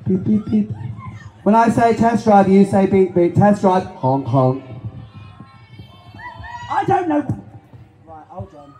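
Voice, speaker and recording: A man's voice: high voice, lively intonation, slow pace, long pauses, roomy sound. A man, performing in drag on a Pride stage and bantering with the crowd through a handheld mic in a chatty, self-mocking, camp way. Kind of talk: live stage banter between songs. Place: stage at an outdoor Pride event. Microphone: handheld stage microphone. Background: loud guitar music.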